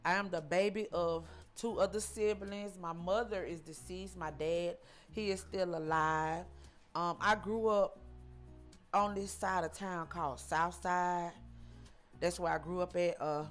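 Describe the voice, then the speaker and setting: A woman, from Georgia, telling her life story in an interview, halting and repeating herself with frequent ums. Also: fairly low pitch, fairly even intonation, soft background music. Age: 40s. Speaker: interview guest.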